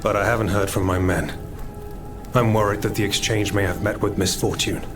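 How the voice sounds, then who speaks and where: Noble French general, male actor: low, weary, honourable, a little off-mic under loud game score. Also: steady tone, a little fast, natural voice. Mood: grave, worried. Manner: grave, weary, formal. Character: grief-stricken noble French revolutionary general, grave and honourable. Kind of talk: video game voice acting. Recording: slightly distant, loud music behind.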